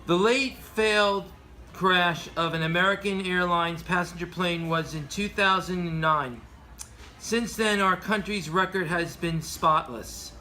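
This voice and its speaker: A man's voice: high-pitched, a little slow, sharp tone, slight background noise. A middle-aged man presenting earnest aviation commentary, partly read from prepared notes, occasionally stumbling over his words. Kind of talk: prepared commentary read aloud with informal asides.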